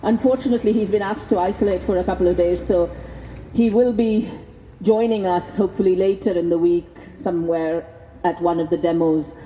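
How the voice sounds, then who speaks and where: A woman reading a speech aloud at an outdoor vigil, speaking into a microphone in a measured, deliberate way. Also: fairly low pitch, steady tone, warm tone. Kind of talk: public speech read aloud at a rally. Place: outdoors at a vigil by prison gates. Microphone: handheld microphone. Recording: noisy outdoor PA with long echo.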